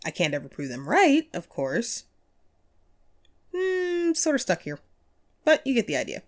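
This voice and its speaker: A woman's voice: a little high, huge pitch swings, a little slow. A woman playing a chatty, upbeat ghost of a radio host and ghost hunter in an audio roleplay, playful and musing in manner. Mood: musing, proud. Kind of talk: scripted one-sided audio roleplay, conversational.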